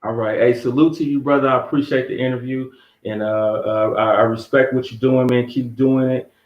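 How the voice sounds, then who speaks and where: Southern US man, relaxed slangy storyteller, roomy muffled call sound. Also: mid-range voice, a little fast. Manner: laid-back storyteller, slangy, laughs often. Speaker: interview guest. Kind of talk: casual interview chat. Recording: echoey muffled call audio.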